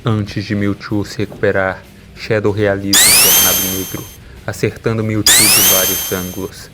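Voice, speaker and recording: Low-voiced Brazilian man narrating dramatically. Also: flat delivery, moderate pace, music in the background.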